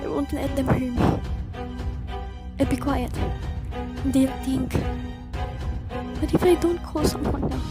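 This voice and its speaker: A woman's voice: high voice, steady tone, slow, long pauses, loud music behind the voice. A woman playing an anxious, demanding invalid wife in a radio play, fretful and insistent. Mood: fearful.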